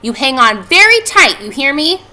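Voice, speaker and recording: Woman as a fussing, high-pitched farm mother. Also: sing-song, moderate pace, pushed and bright, exaggerated voice. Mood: anxious, insistent. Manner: emphatic warnings.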